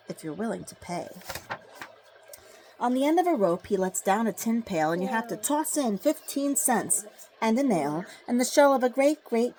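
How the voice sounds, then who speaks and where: A woman reading a rhyming children's storybook aloud, with dramatic, deliberate phrasing. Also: mid-range voice, a little mellow, slight background noise, rough recording. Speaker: storybook reader. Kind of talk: expressive children's storybook read-aloud in rhyme.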